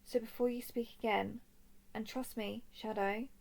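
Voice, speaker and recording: A woman playing a young royal dragon in a roleplay audio drama, speaking formally, softly and deliberately as a composed, curious captor. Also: a little high, fairly even intonation, vocal fry, low-quality recording. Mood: wry, composed. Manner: formal, deliberate, soft-spoken.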